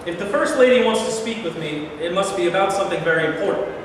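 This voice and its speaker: A man's voice: high-pitched, animated, quick pace. A man in a staged reading playing a humble, uneasy newly sworn American president. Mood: startled, uneasy. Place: large room at a live staged reading. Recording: very echoey hall, distant hiss.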